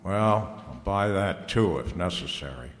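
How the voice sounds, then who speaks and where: An actor playing a wry, laconic private detective in an old-time radio noir drama, slangy and dry. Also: male voice, sits low, expressive, a little slow. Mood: dry, unruffled. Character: wry, hardboiled male private detective narrator. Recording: reverberant hall, music underneath.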